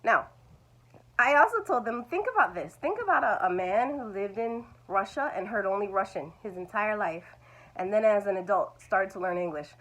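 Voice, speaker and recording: A woman teaching a video lesson to language learners. She talks in a reassuring way and keeps coming back to the same comforting phrases. Her voice sits at an ordinary pitch for a woman. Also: animated, moderate pace, a bit bright, poor audio. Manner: reassuring, repeats "it's okay". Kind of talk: talking-head video lesson.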